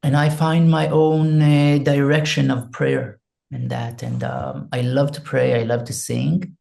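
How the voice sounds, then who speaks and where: Israeli man, second-language English, slow and gravelly, dark mellow tone, meditative interview guest. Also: medium pitch, little pitch movement. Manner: soft, hesitant, searching for words, meditative. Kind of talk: slow reflective interview talk with a spoken prayer.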